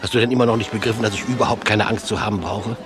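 An actor playing Paul, a smug, cold traitor who speaks with cool, mocking evasion. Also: male voice, on the low side, steady tone, rapid-fire, lightly acted. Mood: sneering. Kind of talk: radio play acting. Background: bar piano music.

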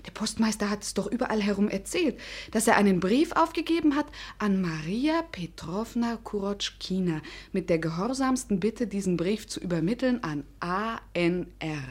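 A woman's voice: mid-range voice, animated, normal speed, hardly any pauses, breathy edge. An actress playing a romantic, haughty young lady of the gentry in a German radio drama, imperious and eagerly curious. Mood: dreamy, curious. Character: impatient, romantic young noble lady, haughty but girlish.

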